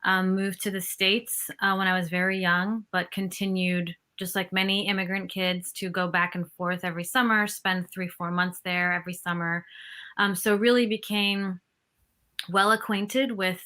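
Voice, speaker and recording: Woman, 30s, from the West Bank; lowish bright tone, thoughtful expert guest. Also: level tone. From West Bank, Palestine. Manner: thoughtful, measured, many fillers. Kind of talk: interview answer.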